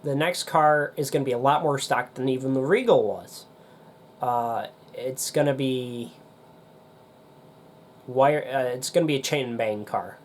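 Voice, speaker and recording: A young man with a stuffy, nasal voice from a cold, rambling casually to camera with many pauses and fillers. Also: mid-range voice, slight background noise. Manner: rambling, hesitant with many ums. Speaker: demolition derby YouTuber.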